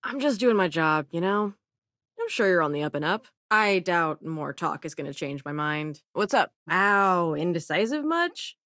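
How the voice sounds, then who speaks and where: An actress voicing a cynical, dry-witted spacefaring hacker in a video game, with a sardonic, conversational manner. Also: female voice, on the low side, very animated, moderate pace, a bit bright, no room sound. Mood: wry, guarded. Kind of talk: video game companion voice lines.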